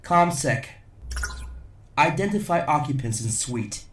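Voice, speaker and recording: An actor playing a steady, determined security agent, businesslike and dryly pointed, in a science fiction audio drama. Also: male voice, fairly high pitch, slow pace, far from the mic. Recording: roomy echo, music underneath.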